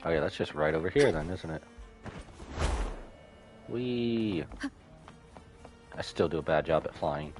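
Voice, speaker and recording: A man's voice: rumbling low, expressive, measured pace, long pauses, a little mellow. A man livestreaming a video game with casual commentary, musing aloud to himself as he plays. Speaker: livestreaming gamer. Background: game music.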